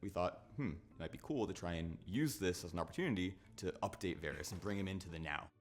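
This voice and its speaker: Deep-voiced man in developer interview. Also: quick pace. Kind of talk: behind-the-scenes documentary interview.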